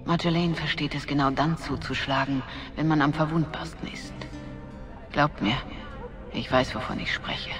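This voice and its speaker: An actress playing a calm, solemn older woman mentor, speaking deliberately and hushed in a German fantasy game. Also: low voice, close to an everyday voice. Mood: solemn, encouraging. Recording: clear music behind the voice.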